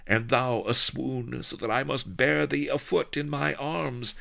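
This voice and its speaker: A man doing a husky pirate seductress. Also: medium pitch, fairly even intonation, a little slow, bright, forceful tone, voice pushed up, full character voice. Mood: seductive. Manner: husky, theatrical, archaic speech. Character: sultry, scheming female pirate temptress.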